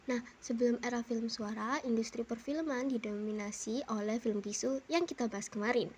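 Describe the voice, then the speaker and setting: Hoarse young woman narrating a class video, warm slightly high voice, chatty, faint hiss. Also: steady tone, normal speed. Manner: chatty, peppers talk with "nah" and "gitu deh". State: hoarse, a bit unwell. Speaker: student presenter. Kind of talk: casual educational voice-over. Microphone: home-recorded dubbing.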